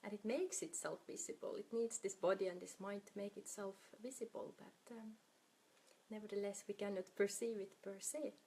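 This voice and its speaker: A woman leading a guided meditation in a soft, soothing, unhurried manner, her breathy voice often trailing off toward a whisper. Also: medium pitch, steady tone.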